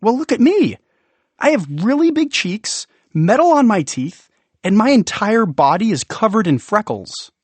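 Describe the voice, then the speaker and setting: Man playing a friendly, high-pitched curious boy. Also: sing-song, unhurried, dry recording, exaggerated voice. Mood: cheerfully frank. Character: friendly, curious young boy, unbothered by being different.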